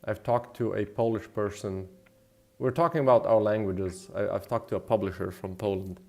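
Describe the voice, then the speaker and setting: A man chatting casually as he works on a livestream, rambling and thinking out loud. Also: lowish voice, normal speed, creaky. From Slovenia. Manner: rambling, thinking aloud, mildly profane. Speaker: game developer and streamer. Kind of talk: casual livestream chat while working. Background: background music.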